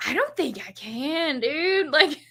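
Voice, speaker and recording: A woman's voice: high-pitched, expressive, takes it slowly, a bit bright. A woman talking enthusiastically and informally about books in a casual chat, using slangy phrasing. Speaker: host of a book readalong.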